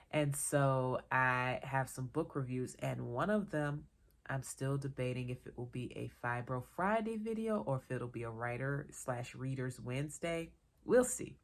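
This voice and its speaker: A woman talks to camera in an easy, meandering vlog chat, her voice low and full of vocal fry. She sounds stuffy from a cold and a little worn out, but keeps the tone loose and chatty. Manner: chatty, relaxed, digressive with small laughs. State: congested from a cold; tired. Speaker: vlogger and author. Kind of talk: casual solo vlog chat.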